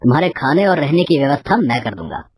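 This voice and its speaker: A woman voicing a kindly but firm male barber with a husky voice, in a storybook tale. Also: sits low, sing-song, fast, darkish tone, no room sound, clean studio sound, pitched below the performer's own voice, heavily acted.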